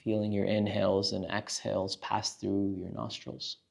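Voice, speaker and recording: A man with a low, gravelly voice leads a guided meditation. His delivery is calm and gentle, staying very even in tone. He takes his time, leaving long pauses between instructions. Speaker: meditation teacher.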